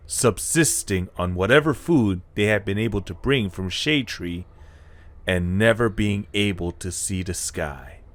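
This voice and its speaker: A man reading scripted story narration as the narrator of an audio drama. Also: fairly low pitch.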